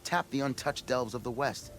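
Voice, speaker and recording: An actor playing a blustering, scheming expedition leader in a video game, with grandiose storytelling. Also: male voice, mid-range voice, steady tone, moderate pace, a bit bright, slight background noise, lightly acted. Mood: eager, persuasive. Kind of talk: video game cutscene acting.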